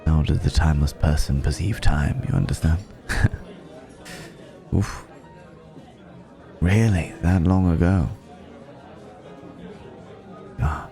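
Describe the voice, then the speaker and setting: An ageless, indulgent vampire gentleman, acted by a man, speaks in a very deep, unhurried, mellow voice. He is courtly and teasing, lingering over his words in an intimate ASMR roleplay. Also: fairly even intonation, gravelly, faint music behind, a faint crowd, exaggerated voice. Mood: flirtatious, wistful. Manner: leisurely, courtly, teasing, with hesitant pauses and hums.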